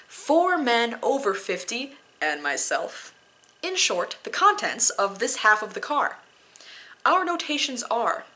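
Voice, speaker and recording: Bright-voiced woman, theatrical amateur reader. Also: mid-range voice. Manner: self-deprecating, theatrical. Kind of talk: dramatic poetry reading with casual asides.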